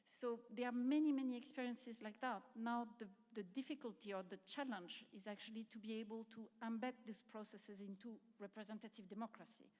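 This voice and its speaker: A woman answering a question on a conference panel in a measured way, with frequent fillers and clipped restarts. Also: fairly high pitch, steady tone, darkish tone. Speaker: panelist from an international policy organisation. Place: conference centre session room. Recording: long reverb of a large hall.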